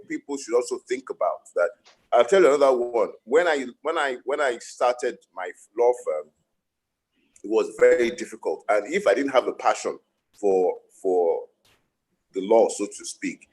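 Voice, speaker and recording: A man's voice: medium pitch, darkish tone, low-quality recording. A middle-aged man from Nigeria, a lawyer speaking on a panel and telling reflective stories with frequent restarts. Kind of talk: panel discussion.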